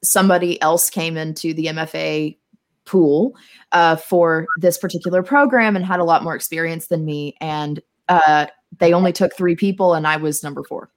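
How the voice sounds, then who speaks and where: A young adult woman, a podcast guest, chatting casually and telling stories in an animated, theatrical, self-mocking way. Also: low-pitched, moderate pace. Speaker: podcast guest, tech marketer. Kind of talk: casual conversational storytelling.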